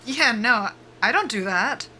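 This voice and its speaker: A woman's voice: a little high, expressive, unhurried, pushed and bright, a little hiss or hum, full character voice. A woman acting a nervy, foul-mouthed young soldier in a sci-fi audio drama, wry and defiant in a casual, joking way. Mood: sardonic, defiant. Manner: sardonic, casual, sweary, joking under nerves.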